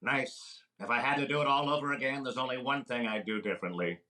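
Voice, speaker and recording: A man playing a fast-talking, mock-grandiose quack doctor in old-time radio comedy style, full of wisecracks. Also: medium pitch, pushed and bright, lightly acted, low-quality recording. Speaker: actor. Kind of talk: old-time radio comedy impression with comic patter song.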